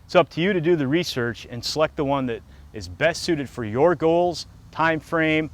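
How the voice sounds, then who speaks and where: A man's voice: on the high side, normal speed, slight background noise. A man giving business advice in an informational, scripted narration style.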